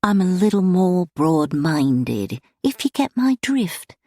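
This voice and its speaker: A woman's voice: on the low side, sing-song, takes it slowly, darkish tone, no echo, studio quality, pitched above the performer's own voice, full character voice. Woman voicing a plummy London thug. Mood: menacing. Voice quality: thick, plummy. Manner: musing, then impatient and threatening. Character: affable menacing male London thug, vain.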